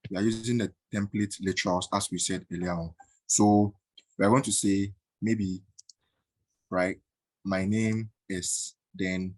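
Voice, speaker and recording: A man teaching a coding tutorial in a halting way, with many fillers and frequent "right?" checks. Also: low-pitched, no room sound, rough recording. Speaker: coding instructor. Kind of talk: screen-recorded coding tutorial.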